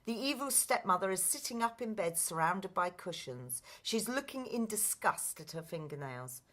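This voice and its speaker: A woman's voice: medium pitch, expressive, normal speed, a bit bright. A woman narrating scripted stage directions for a play.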